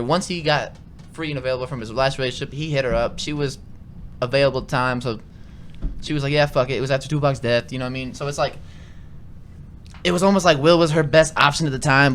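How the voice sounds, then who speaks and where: A man's voice: medium pitch, quick pace. A man co-hosting a podcast, giving opinions in casual, blunt, slangy talk with some swearing. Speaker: podcast co-host. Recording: noticeable background noise, room ambience.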